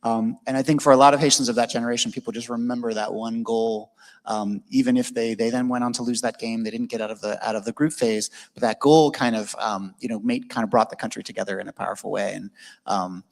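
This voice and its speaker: Fast-talking man in an echoey hall. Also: medium pitch, fairly even intonation. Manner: fast, thoughtful, many fillers and restarts. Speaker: panelist, historian. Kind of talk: casual panel discussion. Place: event venue with a large hall.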